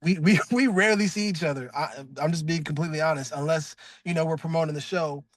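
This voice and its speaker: A man's voice: fast, dry recording, clean studio sound. A man who voices a cartoon character, talking as a guest in a casual interview. He gushes loosely, with plenty of "like" and restarts. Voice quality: calls his own voice really deep. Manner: loose, effusive talk full of "like" and restarts. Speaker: voice actor guest.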